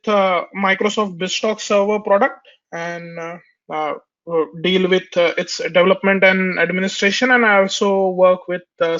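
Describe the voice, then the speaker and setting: A man's voice: high-pitched, a bit bright. Nasal man presenting a tech webinar. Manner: hesitant, many fillers and restarts. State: nasal from a cold. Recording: dull lo-fi webinar audio.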